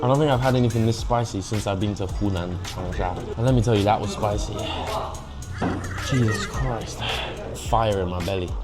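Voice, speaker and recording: A man's voice: lowish voice, normal speed, loud music behind the voice. A young man with an English accent, chatting casually through a food vlog, cheeky and mixing in Mandarin phrases. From England. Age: young adult. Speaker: food vlogger. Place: hotpot restaurant.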